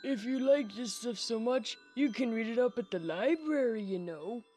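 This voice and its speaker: A woman's voice: a little high, lively intonation, normal speed, mellow, no echo. A woman voicing a knowing monster storyteller in a comic dub. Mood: casual. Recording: faint music behind.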